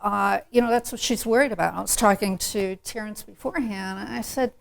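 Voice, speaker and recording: A woman's voice: mid-range voice, brisk, gravelly. A woman speaking on a live panel discussion, frank and emphatic.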